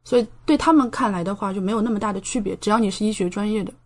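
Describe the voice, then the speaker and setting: A woman's voice: medium pitch, rapid-fire. A woman in her thirties from China chatting casually as an interview guest, telling stories vividly and often checking in with the listener. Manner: chatty, animated storyteller who re-enacts speech and checks in with the listener. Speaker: podcast guest, medical doctoral student.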